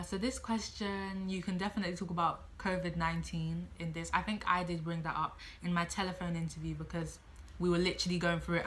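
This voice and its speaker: A woman in her late teens speaks in a bright, crisp-edged voice pitched a little low. She sounds like a student vlogger giving casual advice, chatting freely, thinking aloud and circling back over her points. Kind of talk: casual advice vlog, talking to camera.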